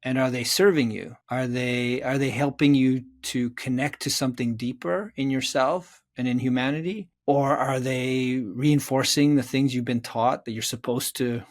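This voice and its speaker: Middle-aged man, mid-pitched, earnest, reflective, conversational, halting, piling up rhetorical questions. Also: fairly even intonation. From Czechoslovakia, moved to North America. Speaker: interview guest, psychotherapist and author.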